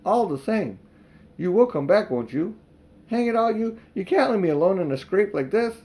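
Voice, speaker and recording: A man's voice: high voice, sing-song, normal speed, darkish tone, voice pushed up. A man voicing a worried young schoolboy in a children's storybook, earnest and pleading. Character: anxious, pleading schoolboy in a scrape. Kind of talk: children's storybook read aloud.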